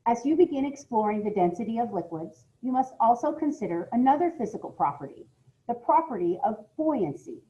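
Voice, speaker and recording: A woman's voice: fairly high pitch, sing-song, brisk, warm tone. A woman reading a lesson aloud as a schoolteacher, in an instructional, emphatic way, often putting questions to her young listeners. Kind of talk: read-aloud science lesson with asides to children. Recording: dull, lo-fi audio.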